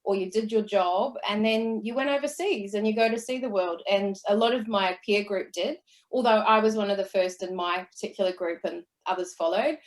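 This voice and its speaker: A woman's voice: mid-range voice, a little fast. A woman in her forties with a New Zealand accent, chatting easily as an interview guest and telling stories about her career. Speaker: guest, entrepreneur. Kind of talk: casual interview chat.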